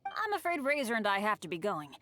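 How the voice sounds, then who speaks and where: A woman voicing an earnest, unlucky but upbeat boy adventurer in an animated English game dub. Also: mid-range voice, brisk. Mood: happy. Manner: excitable, boasting, eager.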